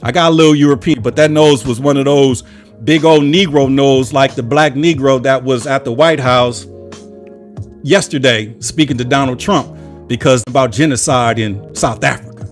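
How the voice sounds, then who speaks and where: A man's voice: mid-range voice, normal speed, faint music behind. An American man hosting his own political commentary channel, delivering an impassioned monologue full of rhetorical repetition. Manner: preachy, with rhetorical repetition and emphatic lists.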